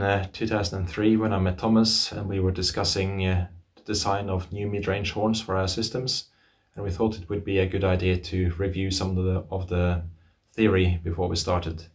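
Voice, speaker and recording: Deep-voiced man. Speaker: loudspeaker engineer and author. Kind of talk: informal presentation to camera.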